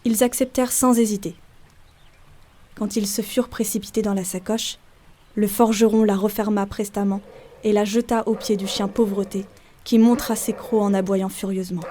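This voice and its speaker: A woman's voice: medium pitch, dark tone. A woman narrating a literary folk tale as a scripted storyteller.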